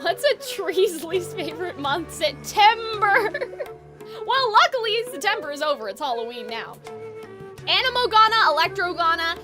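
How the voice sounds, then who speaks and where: A woman with a very high voice hosts a live video game stream. She talks casually to her chat in an informal, exclamatory way, dropping swear words. Music plays clearly behind her. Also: sing-song, normal speed.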